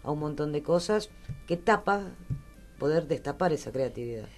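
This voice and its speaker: An Argentine woman with a distinctly low voice hosts a radio interview. She talks conversationally and often chimes in with short words of agreement. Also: animated, normal speed, a little mellow, soft background music. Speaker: radio host.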